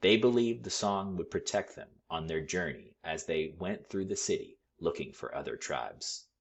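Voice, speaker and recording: A man reading a picture book aloud to children in an expressive, teacherly way. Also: low-pitched, moderate pace, vocal fry, breathy edge. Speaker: school read-aloud storyteller.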